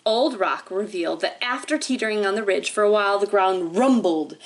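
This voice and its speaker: A woman's voice: mid-range voice, brisk, a little hiss or hum. A woman hosting library storytime, reading aloud and explaining things expressively to young children, often checking in with them.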